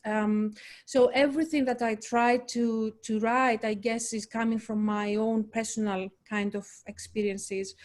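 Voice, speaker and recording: Woman, Greek accent, poet, hesitant and searching, fairly even pitch, video call. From Greece. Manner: halting, searching for words, thoughtful. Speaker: poet, festival guest. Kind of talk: casual conversation.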